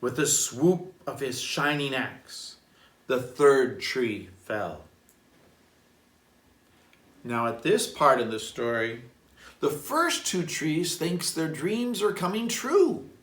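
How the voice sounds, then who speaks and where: A man, a priest, reading a children's story aloud in an expressive, deliberate way and adding reflective asides. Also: medium pitch. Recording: roomy echo, distant microphone.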